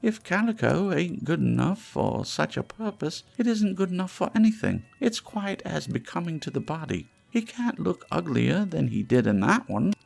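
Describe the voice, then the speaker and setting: Guy doing a brash cackling old-london style thief woman, pitched way up, raspy, no shame at all, storybook. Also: sing-song, moderate pace, few pauses, darkish tone, heavily acted. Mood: scornful, defensive. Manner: brash, unashamed Victorian working-class talk. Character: coarse, brazen, cold-hearted scavenging woman, cackling. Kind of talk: storybook character reading.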